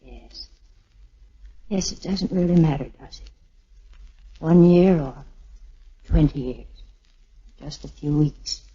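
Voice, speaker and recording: Low-voiced tender 1940s sweetheart. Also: female voice, slow pace, pauses often, warm tone, slightly rough, heavily acted. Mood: earnest, aching. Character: tender, earnest young wartime sweetheart, bravely lovelorn. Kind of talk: 1940s radio drama acting. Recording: muffled old 1940s radio recording with music.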